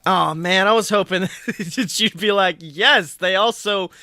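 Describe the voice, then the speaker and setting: A man's voice: high voice, measured pace, bright, forceful tone, no echo, studio quality. A man hosting a podcast in casual, joking banter, swearing now and then. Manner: joking, uses profanity. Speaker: podcast host. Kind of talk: casual banter about a video game.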